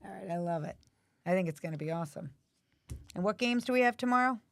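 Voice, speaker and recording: A woman with a raspy, allergy-hoarse voice chatting casually while watching hockey at home. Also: medium pitch, animated, quick pace. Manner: chatty, asks many questions. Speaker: livestream host.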